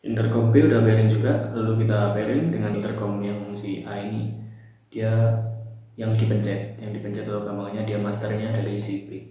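Casting: young male tutorial presenter, fairly flat delivery, mellow tone, heavily reverberant small room. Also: on the low side, moderate pace, highs cut off. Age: young adult. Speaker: shop presenter giving product tutorial. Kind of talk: informal step-by-step product demonstration. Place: small bare room, indoors at a table.

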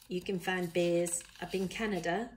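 A woman's voice: fairly low pitch, fairly even intonation, moderate pace, a little hiss or hum, natural voice. A woman voicing an exasperated, indignant storybook koala in an attempted Australian accent, blunt, grumbling and emphatic. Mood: fed up. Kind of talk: children's picture book read-aloud.

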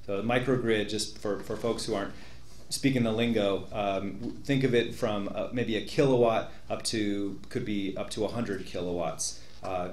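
A low-voiced man answers a question on a panel in a large meeting room. He explains carefully but hesitantly, restarting his phrases often. The sound is roomy with a faint hum.

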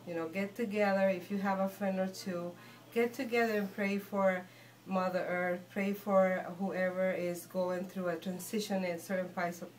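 A woman with a Guatemalan Spanish accent speaks as a spiritual guide and guest. Her pitch is a bit lower than usual. She is earnest and reassuring, feeling her way through her sentences in an informal talk into a phone. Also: poor audio. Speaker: shaman and healer, guest. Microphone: phone handed over.

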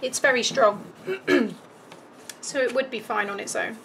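Woman, British accent, fairly high voice, starting to lose her voice, informal craft instruction, thinking aloud, noticeable background noise. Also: unhurried, creaky, fairly bright tone, poor audio. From United Kingdom. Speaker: craft tutorial host. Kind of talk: casual step-by-step craft tutorial. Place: indoors at a craft table.

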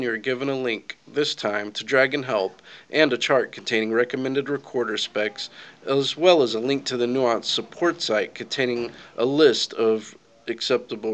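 A man reading scripted instructional narration for a software tutorial, giving clear step-by-step directions. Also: medium pitch.

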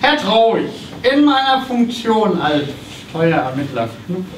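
A man performs an officious tax investigator in a stage comedy, pedantic and pompous. The voice sits high and carries across an echoey theatre, picked up from afar with clear noise. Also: sing-song, measured pace. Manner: officious, legalistic, hesitating. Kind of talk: live comedy radio play on stage. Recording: distant camera in echoey theatre, noisy.

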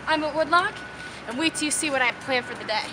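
A young woman hosting an upbeat promotional vlog outdoors at a ski area, talking in a bubbly, excited way. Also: sits high, fast, background noise. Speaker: resort video host.

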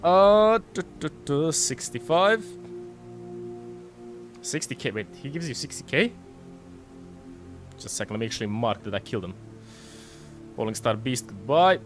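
Lively Croatian man streaming a game. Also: medium pitch, normal speed, pauses often, faint music behind. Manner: excitable, swears and laughs while fighting bosses. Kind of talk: live gameplay commentary reading chat. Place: home streaming room, indoors. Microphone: headset.

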